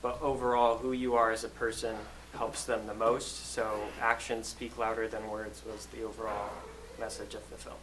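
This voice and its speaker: Halting young man, level and steady. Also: on the low side. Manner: halting, self-deprecating, many fillers. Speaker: panelist filmmaker. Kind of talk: casual panel answer. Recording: noisy room recording.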